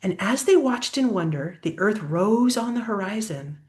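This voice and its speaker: Animated older woman reading a picture book. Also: mid-range voice. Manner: expressive, pausing to point out pictures. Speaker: grandmother reading aloud to a child. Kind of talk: children's picture book read-aloud.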